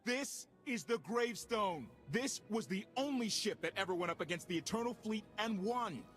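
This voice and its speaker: An actor playing an excitable, wisecracking young adventurer in a video game cutscene, eager and emphatic. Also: male voice, sits high, normal speed, faint music behind. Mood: awed, excited.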